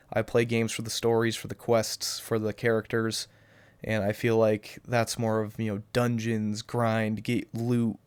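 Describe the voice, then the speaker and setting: A man's voice: medium pitch, smooth, no room sound. A young man answering viewer questions to his webcam, rambling and hesitant, his voice still a little off from the flu. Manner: rambling and hesitant, many restarts and ums. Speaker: gaming YouTuber. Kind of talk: casual Q&A talking to camera. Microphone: cheap webcam.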